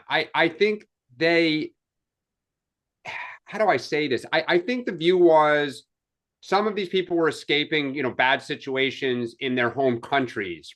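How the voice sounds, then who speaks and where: A man on a political talk panel talks things through casually, hedging and correcting himself as he goes. His voice sits a little higher than most men's. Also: a bit bright. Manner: conversational, hedging, thinks aloud. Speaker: co-host.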